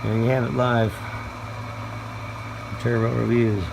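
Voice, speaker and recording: A man doing a casual show-and-tell as a hobbyist presenter, speaking in a hesitant, folksy, halting way. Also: mid-range voice, creaky. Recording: noisy room with steady background hum.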